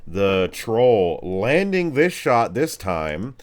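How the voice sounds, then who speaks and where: Low-voiced man running a tabletop game. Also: big pitch swings, extremely slow. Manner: informal, sweary, descriptive. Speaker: game master. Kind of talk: improvised tabletop game narration with casual chat.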